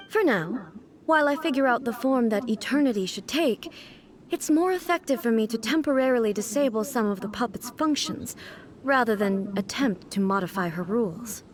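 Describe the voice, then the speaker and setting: A woman voicing a reclusive, contemplative female deity in a video game, speaking in a measured and articulate manner. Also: on the high side, natural voice.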